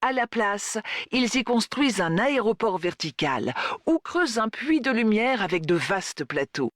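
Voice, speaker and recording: Female report narrator, animated melodic delivery, mid-range voice, scripted, dry studio-like sound. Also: medium pitch, unhurried, dry recording. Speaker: radio-style report presenter.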